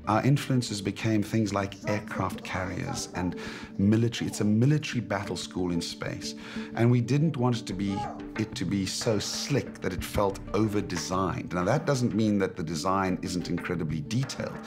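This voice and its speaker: Middle aged director guy talking in an interview, deep voice, deliberate, music under it. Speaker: film director. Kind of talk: behind-the-scenes interview. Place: interview on a film set, indoors.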